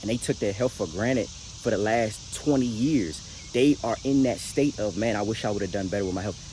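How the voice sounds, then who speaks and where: Low-voiced young American man, noisy recording. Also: normal speed, warm tone. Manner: emphatic, repeats words and phrases, slangy. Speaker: motivational vlogger. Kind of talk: motivational talk, casual and direct to the audience. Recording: noisy recording with clear background noise.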